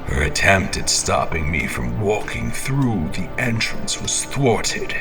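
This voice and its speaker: A man's voice: low-pitched, sing-song, slow, gravelly, over music, heavily acted. A man playing an obsessed, menacing, half-mad champion in a theatrical monologue, grandiose and brooding, with whispery breaths. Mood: menacing, defiant.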